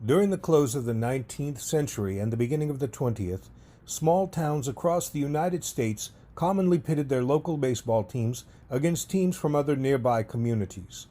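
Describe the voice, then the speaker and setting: Casting: male essay reader with a dark, relaxed tone, middle register and measured, articulate delivery. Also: medium pitch. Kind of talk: scripted essay narration.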